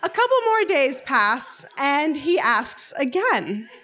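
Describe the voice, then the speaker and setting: A woman's voice: high-pitched, slow. A woman in her twenties telling a personal story to a live audience on stage, wry and often laughing as she talks. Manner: lively, wry, often laughing through lines.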